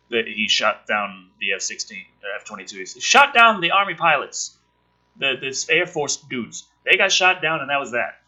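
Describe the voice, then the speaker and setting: A man's voice: medium pitch, pushed and bright, muffled recording. A man giving casual, sweary, sarcastic commentary, rambling between asides and exaggerated dramatic readings from a script. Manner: rambling, profane. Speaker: host reading and commenting on a film script draft.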